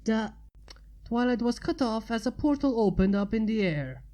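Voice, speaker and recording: A woman's voice: a little high, very animated, moderate pace, mellow. A woman narrating fan fiction as a story reader.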